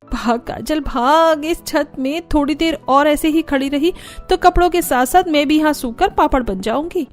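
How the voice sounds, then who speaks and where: Woman as high-voiced grumbling elder daughter-in-law. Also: moderate pace, faint music behind, close to an everyday voice. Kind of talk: animated family story dialogue.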